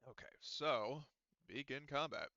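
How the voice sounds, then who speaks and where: A man acting as game master of an online tabletop roleplaying game, explaining rules in a halting, deliberate way over a voice chat. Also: medium pitch, bright, forceful tone, dry recording, highs cut off. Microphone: online voice call microphone.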